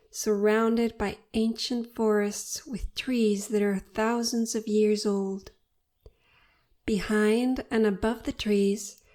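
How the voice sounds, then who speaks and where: Woman, meditation guide, soft mellow tone, slightly high pitch, deliberate soothing phrasing.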